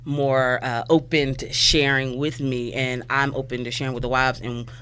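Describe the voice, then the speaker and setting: Fast-talking woman co-host. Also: rumbling low, flat delivery, fairly bright tone, clear, resonant tone. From Florida, US South. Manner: churchy, emphatic, talks fast. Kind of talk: casual radio talk show chat.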